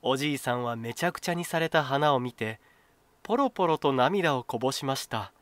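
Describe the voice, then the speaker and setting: Male narrator, animated intonation, fairly high pitch, dry close sound, expressive folktale reading. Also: brisk, no echo. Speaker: storyteller. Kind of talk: scripted children's folktale narration.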